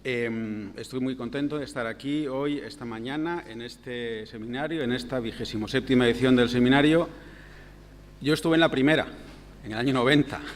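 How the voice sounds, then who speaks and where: A middle-aged man from Andalusia with an Andalusian accent, giving a formal but relaxed lecture, hesitating with many fillers and throat-clearing. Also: medium pitch, normal speed, slight background noise. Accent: Andalusian Spanish. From Andalusia, Spain. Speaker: lecturer at an academic seminar. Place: lecture hall. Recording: long hall reverb.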